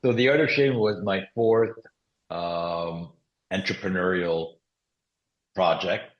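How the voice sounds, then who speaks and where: Male guest, mixed accent from Morocco and Canada, deep voice, echoey room. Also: takes it slowly, dull audio. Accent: mixed foreign. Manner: relaxed, reflective storytelling. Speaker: guest entrepreneur. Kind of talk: interview conversation.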